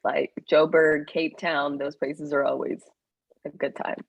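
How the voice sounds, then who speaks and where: A woman's voice: deep voice, flat delivery, unhurried, gravelly, background noise. A young Kenyan-American woman as an interview guest, chatting in loose run-on phrases and laughing often. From Kenyan-American, raised in the US, Canada and South Africa. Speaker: guest, actor and writer. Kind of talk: casual interview chat.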